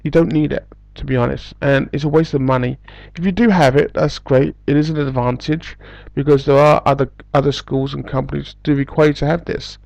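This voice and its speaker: A man's voice: a little high, fairly even intonation, a little fast, vocal fry, hardly any pauses, a little mellow, no room sound, muffled recording. A man from England with an English accent, giving rambling, casual advice about teaching jobs, full of fillers and restarts. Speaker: English school recruiter.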